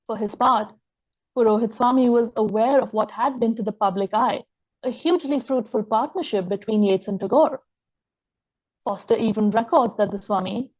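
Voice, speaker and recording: A woman giving a scholarly lecture, reading from her text in a measured way and quoting sources aloud. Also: mid-range voice, mellow, dull audio. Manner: measured, reads quotations aloud. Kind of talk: reading an academic talk.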